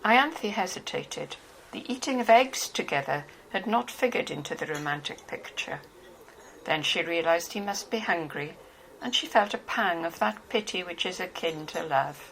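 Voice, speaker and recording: Female narrator for literary fiction, scripted reading, gravelly fry in a lowish voice, faint hiss. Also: lively intonation, moderate pace, a bit bright, rough recording. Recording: faintly hissy home recording.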